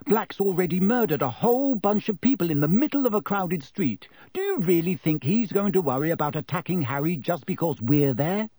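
A man's voice: medium pitch, huge pitch swings, moderate pace, hardly any pauses, dark tone, husky, dry recording. A man voicing an earnest, bossy, bookish schoolgirl with a keen, emphatic manner in an audiobook. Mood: sharp, scolding.

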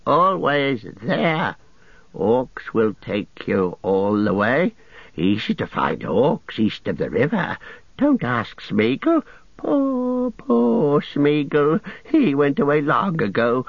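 A pitiful, half-mad creature whines and shrieks, leaping wildly between high and low. The voice is breathy and drawn out. It sits in a dull, dry recording. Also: male voice, huge pitch swings, slow pace, no echo, heavily acted. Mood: cackling sly. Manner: whimpering, shrieking, hissing. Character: wretched creature, split-minded, whining and hissing.

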